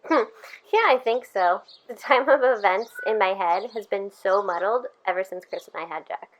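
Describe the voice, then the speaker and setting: A woman's voice: mid-range voice, normal speed, lo-fi sound, natural voice. A woman playing a friendly, chatty, welcoming mother in her thirties in an audio drama. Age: 30s.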